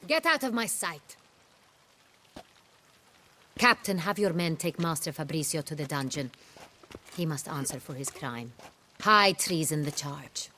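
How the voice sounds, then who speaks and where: A woman's voice: low voice, expressive, measured pace, pauses often, sharp tone, a little hiss or hum, exaggerated voice. An actress playing a stern, imperious female ruler in a video game, speaking with cold, formal command. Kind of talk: dramatic video game acting.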